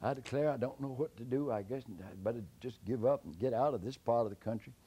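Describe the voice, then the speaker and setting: A man's voice: medium pitch, quick pace, slightly rough, dull audio, pitched above the performer's own voice, close to an everyday voice. Fretful gullible old giant, pleading, as a man storyteller voices him. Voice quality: pleading, worried drawl.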